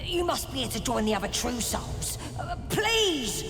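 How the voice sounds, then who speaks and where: A high, creaky female goblin guard for a video game, gruff and suspicious. She has a working-class English accent and swings widely in pitch, in an echoing hall under music. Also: very animated, measured pace, exaggerated voice. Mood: flustered, deferential. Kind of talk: video game character acting.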